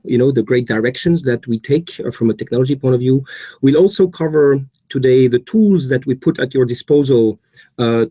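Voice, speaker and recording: Belgian-accented man, mellow tone, halting webinar delivery with many restarts, dry close sound. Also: medium pitch, no room sound, lo-fi sound. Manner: hesitant, many repeats and fillers. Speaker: guest speaker. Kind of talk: webinar presentation.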